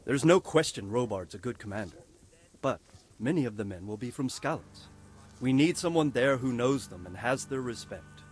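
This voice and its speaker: A man's voice: medium pitch, animated, normal speed, darkish tone, close to an everyday voice. An actor playing a wounded male lord who briefs his men on a battle plan with firm authority. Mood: stern. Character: wounded, stern male lord commanding a siege. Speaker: military commander.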